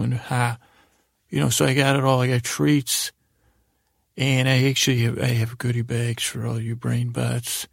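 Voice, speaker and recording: A man improvising a rambling bedtime story as a podcast host, in a halting, creaky voice that keeps repeating itself and trailing off. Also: medium pitch, dry recording, studio quality. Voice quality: gravelly, creaky.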